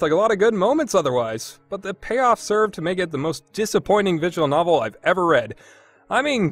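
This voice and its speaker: A man's voice: a little high, fairly bright tone, no echo. A man giving a casual, opinionated review as a podcast host, with a sarcastic, exasperated manner.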